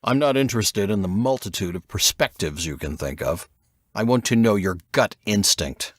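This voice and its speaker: Stern, low-voiced old intelligence veteran, played by a man. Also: animated, no echo, clean studio sound. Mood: probing. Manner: terse, commanding, deliberate. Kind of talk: audiobook character dialogue.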